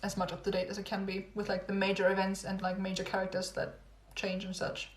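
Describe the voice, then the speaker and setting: A young woman chatting casually with livestream viewers, rambling and repeating herself with little laughs, her voice going hoarse. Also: medium pitch, completely flat delivery, fairly quick. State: losing her voice. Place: home room. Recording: echoey room, phone livestream.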